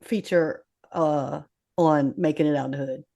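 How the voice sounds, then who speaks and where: A woman's voice: sits low, measured pace, creaky, dull audio. Woman, fifties, recording artist, blunt, streetwise, salty language, interview guest. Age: 50s. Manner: blunt, swearing, streetwise and animated. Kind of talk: candid casual interview talk.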